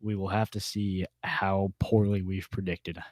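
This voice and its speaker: A man's voice: low voice, flat delivery, unhurried, darkish tone, no room sound. A man hosting a casual panel discussion, often repeating words as he thinks aloud. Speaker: podcast host.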